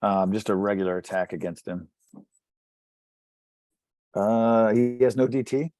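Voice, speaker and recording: A man's voice: on the low side, measured pace, dark tone, dry recording. A man chatting casually as a player in a tabletop roleplaying game.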